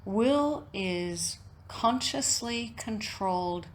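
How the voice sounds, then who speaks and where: Slow-speaking woman guiding a meditation. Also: mid-range voice, expressive, a little hiss or hum. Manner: measured, deliberate phrasing with frequent pauses. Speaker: yoga nidra teacher. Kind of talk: guided meditation talk, reflective and instructional.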